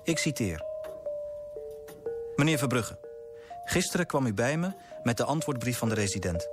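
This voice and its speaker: An actor in a radio drama playing an earnest, idealistic clerk, formal and articulate, politely persistent. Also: male voice, mid-range voice, animated, normal speed, over music, natural voice. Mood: grave, narrating.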